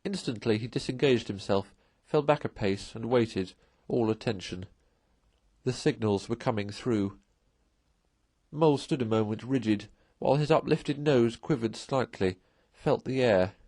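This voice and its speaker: A man reading a storybook aloud as narrator, in an expressive storytelling style. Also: mid-range voice, unhurried, dry recording. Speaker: audiobook reader.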